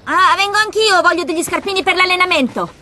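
A woman playing a polite, earnest, quietly firm teenage boy in an anime dub. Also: extremely high pitch, quick pace, slight background noise. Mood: friendly. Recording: faint music behind the voice.

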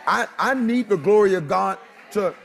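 A man in his thirties preaching with passion on a panel, halting and repeating words for emphasis. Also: sits high, lively intonation, vocal fry, slight background noise. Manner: impassioned, halting repetition for emphasis. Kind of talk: fervent preaching in conversation. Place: large TV studio set with audience. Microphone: lapel mic.

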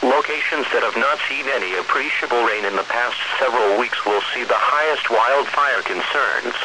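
A man's automated voice reading scripted weather forecasts in a measured, impersonal way over weather radio. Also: mid-range voice. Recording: noisy hissy weather radio broadcast.

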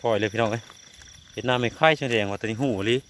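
A man's voice: mid-range voice, fairly even intonation, racing, fairly bright tone. A man with a northeastern Thai Isan accent giving casual outdoor vlog commentary, often addressing his viewers directly as he shows things. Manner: repeatedly addresses viewers while showing the catch. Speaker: vlogger hunting frogs. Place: outdoors in the countryside at night. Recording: clear outdoor noise, insects and crickets.

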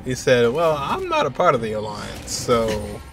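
A man's voice: medium pitch, huge pitch swings, measured pace, music in the background. A young American man giving casual reaction commentary on a game. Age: young adult. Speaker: streamer reacting to game cutscenes.

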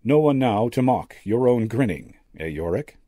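A man voicing a kindly old medium and former actor, gentle, courtly and theatrical, in an audiobook story. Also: medium pitch, lively intonation, moderate pace, no echo, studio quality, voice pushed up, close to an everyday voice. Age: older. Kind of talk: character dialogue in an audiobook story.

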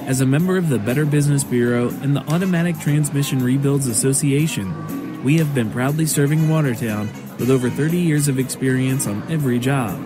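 Medium-pitched man reading an ad over music. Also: fairly quick. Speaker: commercial announcer. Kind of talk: scripted advertisement narration.

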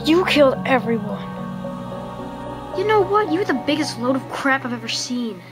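A woman voicing all the characters in a homemade toy stop-motion film, with lots of shouted exclamations. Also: high-pitched, sing-song, normal speed, over music.

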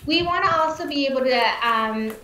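A woman's voice: high-pitched, expressive, fairly quick, bright, forceful tone. A young woman, communications director of an advocacy group, answering interview questions earnestly over a video call. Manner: earnest, polished talking points. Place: indoor room. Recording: echoey video call, faint hiss.

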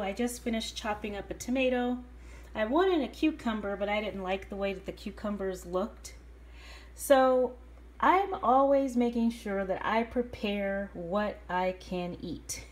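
A woman casually explaining her meal prep step by step in her home kitchen, recorded on a handheld phone. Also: medium pitch. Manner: chatty, explains step by step with fillers. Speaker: home cook vlogger. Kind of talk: casual cooking narration. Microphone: handheld phone camera. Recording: faint kitchen noise, sizzling pan.

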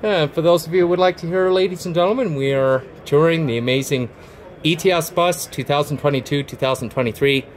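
Excitable Canadian man touring buses. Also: fairly high pitch, slight background noise. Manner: excited, halting, repeats bus details. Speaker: transit vlogger. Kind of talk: casual touring vlog. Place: bus display in a convention centre, indoors.

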